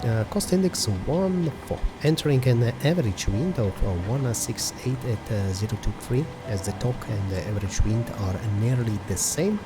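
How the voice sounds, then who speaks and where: A man's voice: medium pitch, animated, moderate pace, hardly any pauses, music in the background. A man hosting a flight simulator video, giving methodical step-by-step commentary in a hesitant delivery.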